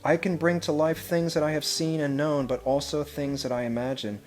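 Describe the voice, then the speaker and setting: A man's voice: a little high, level tone, moderate pace. Man reading a storybook aloud over music. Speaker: head of school reading a picture book to children. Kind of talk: storybook read-aloud with brief asides.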